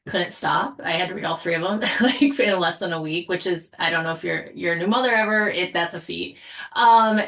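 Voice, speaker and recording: Woman guest on a podcast panel, voice a bit low, just chatting. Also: vocal fry, fairly bright tone. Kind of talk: casual discussion.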